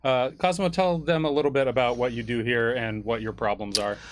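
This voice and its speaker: A man's voice: medium pitch, steady tone, brisk, a little hiss or hum. Male co-host, middle register. Casual games-stream chatter, delivered with dry, teasing wit. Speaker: co-host and game designer. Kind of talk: casual livestream chat.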